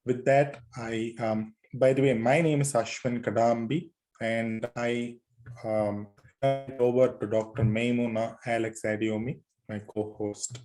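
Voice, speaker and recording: A male host speaks very slowly in a dark, mellow voice. He pauses on "um" as he opens the session. He then hands it over to his co-host. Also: mid-range voice, flat delivery, faint music behind. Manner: hesitant, pausing on "um". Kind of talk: opening a webinar.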